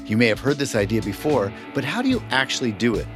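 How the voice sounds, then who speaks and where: A man hosting the show, delivering a scripted introduction of a guest in a smooth, polished way. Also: mid-range voice, sing-song, quick pace. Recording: music under the voice. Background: guitar music.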